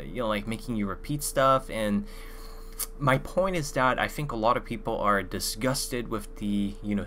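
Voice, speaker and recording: A young man talks straight to camera on a desk mic, loose and rambling, leaning on filler words. His voice sits a little low but sounds bright and forward. A faint hum runs under the recording. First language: French. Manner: loose, filler-heavy, with many "like" and "you know". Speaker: language-learning YouTuber. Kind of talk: casual review to camera. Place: small room indoors.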